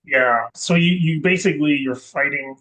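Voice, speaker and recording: A man's voice: fairly high pitch, normal speed, fairly bright tone, poor audio. Rambling man in casual chat. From Scotland. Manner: rambling, self-correcting with many ums and restarts. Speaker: guest investor.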